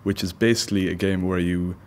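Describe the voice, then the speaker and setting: A man, a team leader, describing his game in halting, thoughtful answers. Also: sits low, steady tone, darkish tone. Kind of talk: casual interview answers.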